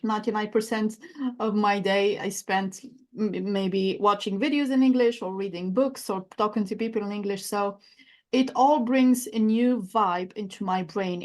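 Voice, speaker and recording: A woman whose first language is Arabic, speaking as a coach in a podcast interview, reflective and searching for words. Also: medium pitch. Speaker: guest coach.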